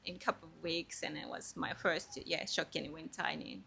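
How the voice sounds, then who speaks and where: A woman from Japan with a Japanese accent, answering interview questions casually and haltingly as she searches for words in her second language. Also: low-pitched, flat delivery, vocal fry, pushed and bright, breathy edge. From Kobe, Japan. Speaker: interview guest.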